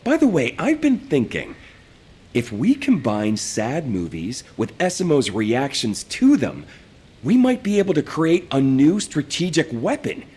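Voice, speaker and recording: A man's voice: medium pitch, sing-song, measured pace, darkish tone, breathy edge. An actor playing a scheming, wisecracking young male fighter in a video game cutscene. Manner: joking, scheming.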